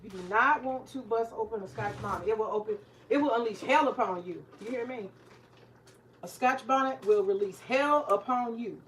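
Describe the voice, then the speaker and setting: A woman's voice: on the high side, moderate pace, a bit bright, poor audio. Forties woman, retired teacher type, loose swearing cooking-vlog chatter, light hiss behind. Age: 40s. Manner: candid, joking, swears freely, talks to viewers. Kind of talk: casual cooking vlog chat. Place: home kitchen.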